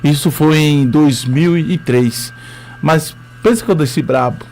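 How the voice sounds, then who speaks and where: Earnest Northeastern Brazilian teacher. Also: male voice, a little high, measured pace, vocal fry, darkish tone. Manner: hesitant, many fillers, earnest. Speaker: history teacher, interview guest. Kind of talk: radio interview, conversational storytelling.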